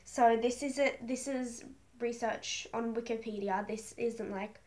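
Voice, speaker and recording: A woman's voice: on the high side, steady tone, unhurried, heavy background noise. Chatty young woman with a stuffy nose. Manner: chatty and rambling, many fillers and restarts. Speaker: vlogger. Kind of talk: casual talk to camera, reading from a phone. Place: small bedroom indoors. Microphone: webcam in front of her.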